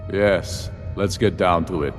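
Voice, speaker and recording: Defiant warlord for a fantasy game: deep, forceful, proud, ringing in a large hall. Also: male voice, soft background music. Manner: haughty, forceful, deliberate. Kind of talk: dramatic fantasy game dialogue.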